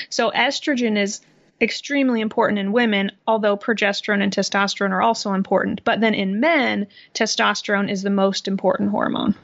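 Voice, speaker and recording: Husky-edged woman explaining health matters. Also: mid-range voice, fairly bright tone, highs cut off. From Iowa. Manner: explanatory, laughs lightly between points. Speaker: health practitioner guest. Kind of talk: podcast interview. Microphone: remote computer recording.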